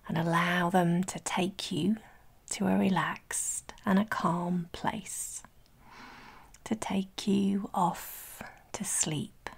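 A woman leading a guided sleep meditation in a breathy, whispery soft voice, soothing and gentle, with long pauses between phrases. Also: on the low side. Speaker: guided relaxation instructor.

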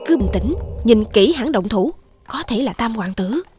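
A woman voicing a proud, shrewd young princess in an animated fairy tale, speaking in a formal, courtly and assertive way. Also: fairly high pitch, moderate pace, natural voice. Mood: cool, shrewd.

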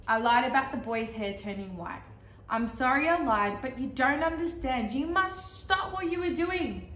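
A woman's voice: sits high, animated, moderate pace, fairly bright tone. A woman voicing an exasperated, pleading storybook character in a dramatic, emphatic children's read-aloud. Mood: earnest pleading. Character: pleading, exasperated talking picture-book character, increasingly frantic. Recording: echoey, roomy sound.

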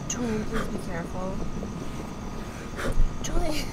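A young woman laughing and teasing as she cooks in her home kitchen, chatting casually. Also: fairly high pitch. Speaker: vlogger cooking at home. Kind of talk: casual banter while cooking. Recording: roomy kitchen with music behind.

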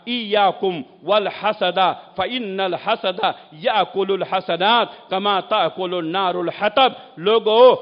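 High-pitched male preacher in echoing hall. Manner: emphatic, exhortatory, with rhetorical questions and repeated phrases. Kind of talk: Urdu Islamic sermon. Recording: muffled, long echoing hall reverb.

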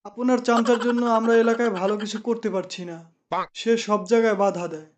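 A man's voice: very high voice, fast. A man playing an eager, complaining village youngster in a cartoon. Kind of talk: cartoon dialogue.

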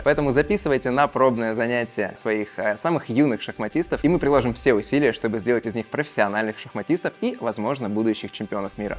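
A man presenting an educational video as a coach, retelling and explaining stories. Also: on the low side. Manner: explanatory storytelling.

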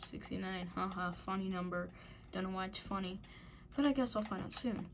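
A woman giving casual commentary while playing a game, muttering and mocking herself in frustration, a little raspy at times. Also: medium pitch, a little fast, slight background noise. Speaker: gaming channel host.

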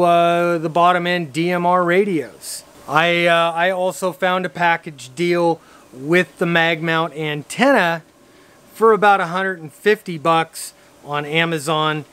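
Higher-pitched American man reviewing gear. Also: a bit bright, clean tone. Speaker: radio hobbyist vlogger and reviewer. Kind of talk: casual vlog product review. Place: outdoors in a driveway by a vehicle, some parts inside a car.

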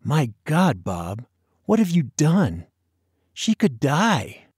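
Male narrator as wary boy, protesting, hesitant, slow, storybook dialogue. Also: a little high, huge pitch swings, a little mellow, husky, dry recording, clean studio sound, voice pushed up, full character voice. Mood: alarmed. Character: wary, dutiful, easily shocked boy. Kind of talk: audiobook character dialogue.

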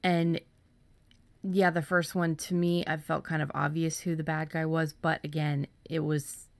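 Woman, deep-pitched voice, dry room sound, casual podcast co-host, conversational. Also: little pitch movement, a little slow, creaky, no room sound.

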